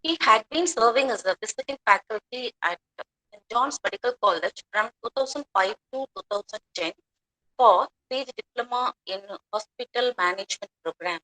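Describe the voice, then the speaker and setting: Bright-voiced woman reading a formal introduction. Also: fairly high pitch, expressive, normal speed, rough recording. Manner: formal, recited, respectful. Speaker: assistant professor introducing the chief guest. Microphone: video call.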